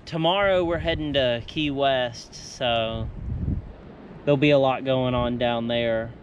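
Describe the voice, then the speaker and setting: A young man vlogging from a kayak on open water, relaxed and easygoing, speaking to an action camera. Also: medium pitch, slow, lo-fi sound. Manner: relaxed, easygoing, a few fillers. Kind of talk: casual travel vlog. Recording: wind noise on action camera.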